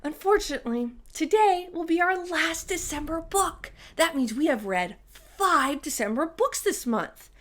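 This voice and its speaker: A woman's voice: high voice, expressive, a little slow, hardly any pauses, fairly bright tone. A woman hosting a children's story time, reading a storybook and addressing young listeners directly with exclamations.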